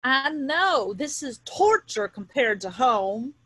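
A woman's voice: high voice, sing-song, takes it slowly, fairly bright tone, breathy edge, no echo, exaggerated voice. A woman at a comedy table read playing a blunt, crude, exasperated ex-convict sidekick.

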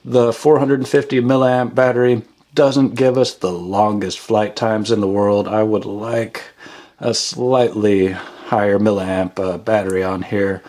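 A man's voice: fairly low pitch, little pitch movement, a little slow, darkish tone. A man hosting a casual product review voice-over in a matter-of-fact way. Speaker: product reviewer and channel host.

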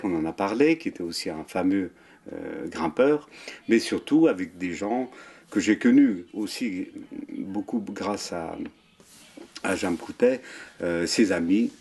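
A man in his sixties, a mountain guide with a French accent, talking in an interview with many pauses and fillers. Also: sits low, a little mellow. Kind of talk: relaxed interview. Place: wood-panelled chalet room, indoors. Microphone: seated at table, framed camera.

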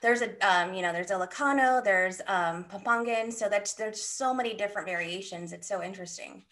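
Female panelist, 30s, average pitch, casual, hesitant phrasing. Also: a little fast, a bit bright. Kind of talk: casual explaining. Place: indoor room on video call.

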